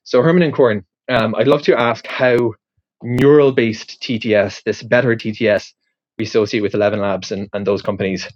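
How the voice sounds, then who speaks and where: A man's voice: lowish voice, steady tone, a little fast, no room sound, lo-fi sound. A man with an Irish accent, talking through a question in a loose, rambling way, full of ums and restarts. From Ireland. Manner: rambling, thinking aloud with many fillers and restarts. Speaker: podcast host posing a question. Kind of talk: casual spoken monologue.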